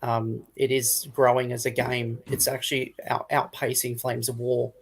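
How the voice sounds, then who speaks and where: Flat, gravelly man chatting. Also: mid-range voice, normal speed, rough recording. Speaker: livestream panellist. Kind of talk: casual hobby chat.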